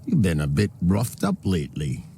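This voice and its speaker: An actor playing an arrogant, flamboyant upstart gangster boss in a video game, sly and theatrically polite. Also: male voice, sits low, big pitch swings, normal speed, warm tone, a little hiss or hum. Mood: sly, mock-friendly. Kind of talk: video game villain dialogue.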